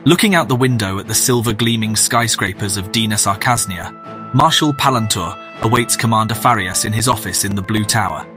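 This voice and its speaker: A man's voice: lowish voice, a little fast. A man narrating a scripted science fiction audio drama in descriptive storytelling style. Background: clear music.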